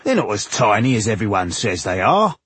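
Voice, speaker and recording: A man's voice: fairly low pitch, big pitch swings, a little fast, a bit bright, husky, dry recording, heavily acted. A man voicing an amused, gawking village sentry in an audiobook, blunt and full of marvel. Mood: amazed, amused. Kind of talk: audiobook character dialogue.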